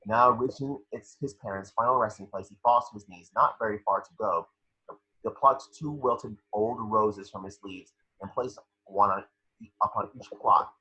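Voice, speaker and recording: Husky man reading scene descriptions. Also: on the low side, normal speed, sharp tone, poor audio. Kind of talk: scripted narration at an online table read.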